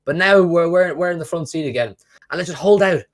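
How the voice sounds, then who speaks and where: A man's voice: fairly high pitch, brisk. A young man hosts a football watch-along, talking in a slightly raised voice. He rants hard, swears freely and sounds like he is losing his voice. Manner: emotional, swears, rants then celebrates.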